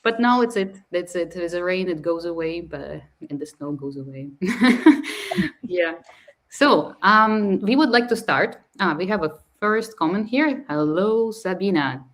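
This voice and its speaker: Chatty lady host, fairly low voice, laughing a lot, reading comments. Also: expressive, measured pace. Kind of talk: casual hosting of an online live session.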